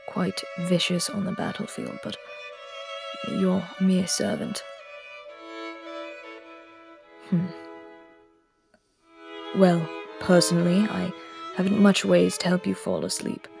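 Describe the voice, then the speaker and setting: A woman voicing an aloof, aristocratic immortal prince in an audio roleplay drama, speaking in a cool, commanding tone with soft murmured asides. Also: on the low side, vocal fry. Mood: soothing. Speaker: voice actor.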